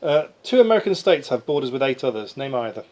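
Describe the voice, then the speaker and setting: A man's voice: medium pitch, big pitch swings, fast, darkish tone. A man reading out quiz questions and ruling on answers in a matter-of-fact way. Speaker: quizmaster. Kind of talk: reading pub-style quiz questions aloud, adjudicating. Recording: slightly noisy quiz room recording.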